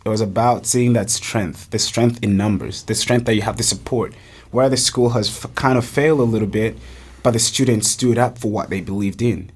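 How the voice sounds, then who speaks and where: A man's voice: on the low side, moderate pace, husky. Earnest young man from Uganda. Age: young adult. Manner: earnest, animated storytelling with many restarts. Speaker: college soccer player, guest. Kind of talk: casual podcast conversation.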